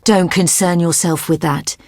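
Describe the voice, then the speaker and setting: An actress playing a proud, seductive vampire madam in a fantasy video game, imperious, with a haughty, formal manner. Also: female voice, lowish voice, fairly even intonation, measured pace, darkish tone, no echo, studio quality. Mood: seductive, persuasive.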